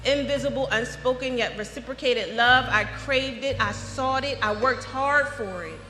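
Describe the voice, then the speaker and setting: An American woman performing a dramatic spoken-word monologue, with emphatic, poetic phrasing and deliberate pauses. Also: on the high side, sharp tone, a little hiss or hum. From United States (Philadelphia). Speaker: storyteller performing an autobiographical monologue. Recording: echoey hall with long reverb.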